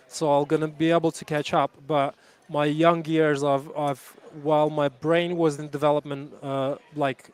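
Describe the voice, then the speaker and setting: A man's voice: a little high, clear, resonant tone. A man in his thirties, a jiu-jitsu coach, answering interview questions earnestly and reflectively, with frequent restarts. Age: 30s.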